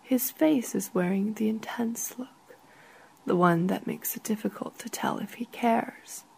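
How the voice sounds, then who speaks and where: A woman narrates a first-person literary story very slowly. Her voice is breathy and creaky, often dropping close to a whisper. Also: fairly high pitch, a little mellow, low-quality recording. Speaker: author reading own short story.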